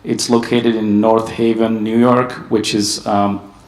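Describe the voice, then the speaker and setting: A man from Hungary giving a conference panel talk, steady and hesitant with many ums. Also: on the low side, unhurried, echoey room, poor audio. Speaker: panel speaker. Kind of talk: conference presentation.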